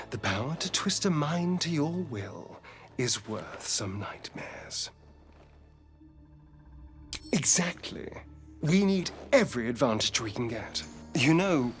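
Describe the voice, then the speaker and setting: A vain vampire elf rogue for a game. The voice is highish and very animated, unhurried, sly and theatrical, with sardonic flirtation. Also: male voice. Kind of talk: video game dialogue acting.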